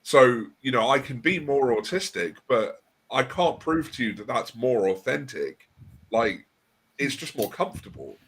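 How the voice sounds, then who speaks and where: A man's voice: medium pitch, big pitch swings, a little slow, fairly bright tone, husky. A man hosting a casual livestream discussion, talking thoughtfully with hesitant restarts. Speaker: livestream host.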